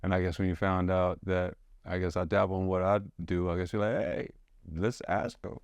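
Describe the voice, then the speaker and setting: A man hosting a podcast in an excitable, rambling way, full of restarts and fillers. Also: low voice, moderate pace, gravelly, no room sound. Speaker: podcast host. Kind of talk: casual chat.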